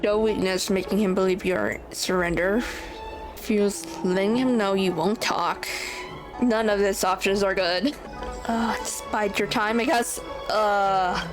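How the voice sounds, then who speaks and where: Female game streamer, casual and unsure, thinking aloud, mid-range pitch, music behind. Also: steady tone, a little slow, rough recording. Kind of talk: casual playthrough commentary, reading choices aloud.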